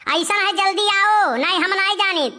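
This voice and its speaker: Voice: extremely high pitch, measured pace, bright, forceful tone, exaggerated voice. A performer voicing a bossy, scolding village housewife with an Awadhi accent in a comic cartoon.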